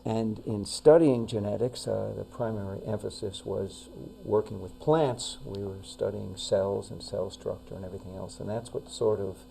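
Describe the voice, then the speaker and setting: An older man with a New York accent speaks as a guest on a local talk show, his voice low and heavily gravelly. He talks in a measured way, often pausing on fillers and restarting a phrase. A faint mains hum sits under the recording. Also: steady tone, mellow, low-quality recording. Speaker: retired pastor, guest. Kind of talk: casual interview talk.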